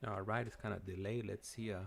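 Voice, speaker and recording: A man hosting a call-in show in casual chat, speaking haltingly with frequent stammered repetitions. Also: lowish voice, steady tone, gravelly.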